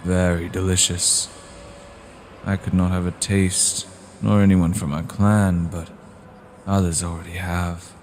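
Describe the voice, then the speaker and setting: Man acting very deep, commanding vampire leader. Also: flat delivery, a little mellow, a little hiss or hum. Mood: grave, scheming. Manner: deliberate, formal, grave. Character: commanding male vampire clan leader, measured, scheming, cold. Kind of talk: acted fantasy audio drama.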